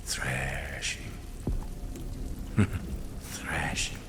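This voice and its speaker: An actor playing a sinister, mocking interrogator in a game cutscene, coldly theatrical, with a deliberate, taunting delivery. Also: male voice, very deep voice, little pitch movement, background noise, exaggerated voice. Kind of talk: dramatic game cutscene acting.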